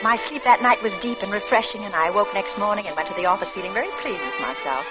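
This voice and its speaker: An actress playing an anxious, paranoid woman in a 1940s radio suspense drama, intense, theatrical and halting. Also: medium pitch, highs cut off, natural voice. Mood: uneasy confidence. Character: anxious, increasingly paranoid single woman tormented by imagined intruder. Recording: band-limited old radio recording, loud music.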